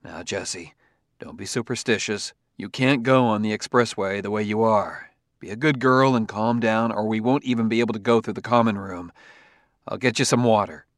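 A man voicing an anguished, harried policeman husband in an audiobook, terse, weary and gruffly protective. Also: mid-range voice, normal speed, hardly any pauses, a bit bright, no echo, studio quality, lightly acted. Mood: soothing. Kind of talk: audiobook character acting.

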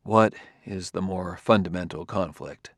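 A man voicing a patient middle-aged police detective in an audiobook, asking questions quietly and cautiously. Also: lowish voice, moderate pace, gravelly, no room sound, studio quality. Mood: probing.

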